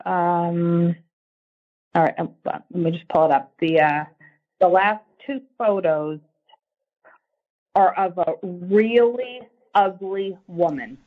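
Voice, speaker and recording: A woman's voice: on the low side, slow pace, pauses often. A middle-aged woman talking on a phone call in a curt, haughty, scornful manner. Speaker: prank call target. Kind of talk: phone conversation. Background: music.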